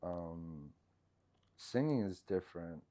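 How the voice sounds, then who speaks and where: A man with a low voice, a musician guest in a casual interview, speaking haltingly with many fillers and trailing thoughts. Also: lively intonation, mellow, no room sound.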